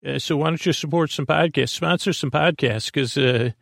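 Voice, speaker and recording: A man hosting a bedtime podcast in a creaky voice, rambling in a halting, meandering way and often trailing off mid-thought. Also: a little high, fairly bright tone, no echo, studio quality. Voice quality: gravelly, creaky. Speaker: host of a bedtime sleep podcast. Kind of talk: rambling, soothing monologue. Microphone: close podcast microphone, dry.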